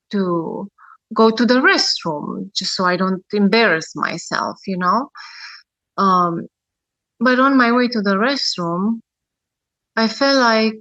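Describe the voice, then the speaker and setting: Woman, 30s, podcast guest; slow, reflective storytelling with frequent pauses, bright tone. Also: medium pitch, no room sound, rough recording. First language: Romanian. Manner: reflective, with many pauses and fillers. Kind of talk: personal storytelling.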